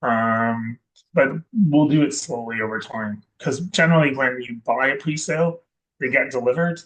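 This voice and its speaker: A man from Scotland, a guest investor chatting in an interview, rambling and correcting himself with many pauses and restarts. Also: mid-range voice, low-quality recording. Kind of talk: casual interview chat.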